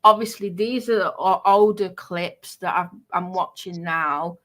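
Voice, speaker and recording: A woman chatting casually in a halting, restrained way. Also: fairly low pitch, fairly bright tone. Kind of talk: casual chat.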